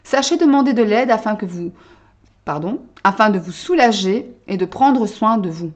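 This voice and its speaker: A woman's voice: mid-range voice, lively intonation, normal speed, muffled recording. A woman giving a casual tarot reading to her viewers, chatty and full of little checks like "hein" and "d'accord?". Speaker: tarot card reader. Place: Belgium.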